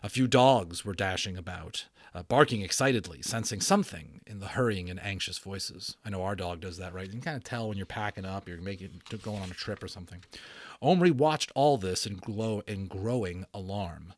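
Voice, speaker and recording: Male audiobook narrator, lower register, expressive storytelling with a conversational touch, very dry sound. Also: normal speed, no room sound. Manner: expressive, emphatic, breaks off to explain to listeners. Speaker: audiobook narrator and channel host. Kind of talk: dramatic storytelling read-aloud with casual asides.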